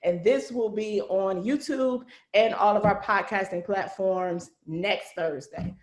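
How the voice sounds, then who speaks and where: A woman hosting a casual video call as a series writer, chatting in an animated, effusive, slangy storytelling way. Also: medium pitch, slow pace. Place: indoor room on a video call. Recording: echoey room over video call.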